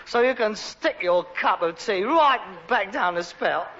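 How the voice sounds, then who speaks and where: A man's voice: high-pitched, animated, measured pace. A man playing an exasperated, pretentious Cockney rag-and-bone man, a put-upon grown son in a sitcom, whining and indignant. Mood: indignant ranting. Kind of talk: British sitcom acting. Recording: dull, noisy old sitcom recording.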